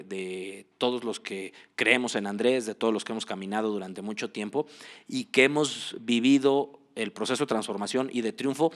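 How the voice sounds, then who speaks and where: A man with a Mexican Spanish accent hosts a casual interview chat. His pitch sits in an ordinary middle range, and he talks in a loose, easygoing way, often pausing on fillers and restarting his sentences. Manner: relaxed, frequent fillers and restarts. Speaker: host.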